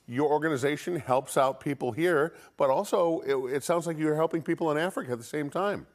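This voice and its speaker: A man's voice: a little high, a little fast. An American man co-hosting live television, asking questions in a casual, conversational way. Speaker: TV news co-host.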